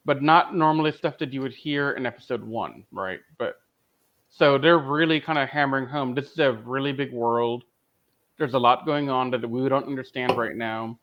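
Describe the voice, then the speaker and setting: A man's voice: medium pitch, highs cut off. A man co-hosting a podcast, giving a fan review in casual conversation. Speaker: podcast co-host.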